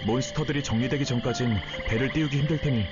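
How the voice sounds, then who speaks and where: A man voicing a gentle, polite young traveling guardian who explains things calmly in a Korean game story. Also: medium pitch, fast talker, natural voice. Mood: thoughtful, surprised. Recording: dull sound under music.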